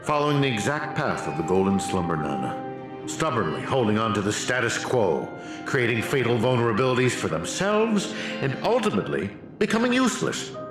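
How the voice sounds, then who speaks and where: Man playing a pompous, theatrical villain researcher, swooping pitch. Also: very animated, fairly quick, roomy sound, over music, natural voice. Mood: grandiose, scornful. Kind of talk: theatrical video game voice acting.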